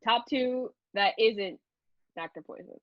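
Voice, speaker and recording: A woman's voice: fairly high pitch, takes it slowly, no echo, muffled recording. A woman interviewer chatting casually, with a playful, giggly manner.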